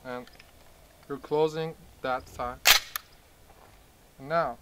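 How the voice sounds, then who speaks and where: A young man from Turkey with a Turkish accent, speaking halting English outdoors and laughing his way through retakes of a gun-safety demo. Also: medium pitch, steady tone, heavy background noise. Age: young adult. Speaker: first-time shooter presenting a gun. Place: outdoors in a gravel field by a truck. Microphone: camera mic from a few meters.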